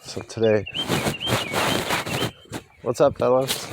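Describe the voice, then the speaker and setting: A man giving a rambling, folksy and wry walking vlog monologue, speaking haltingly and with strong opinions as he walks outdoors along a road. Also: medium pitch, fairly even intonation, heavy background noise. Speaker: vlogger.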